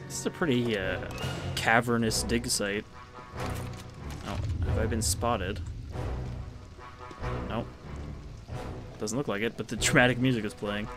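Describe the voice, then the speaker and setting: A man with a lowish voice talks offhandedly over his gameplay, dropping dry, sarcastic remarks. Loud game music plays under his voice. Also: steady tone, unhurried, long pauses. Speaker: gameplay commentator. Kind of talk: casual let's-play commentary.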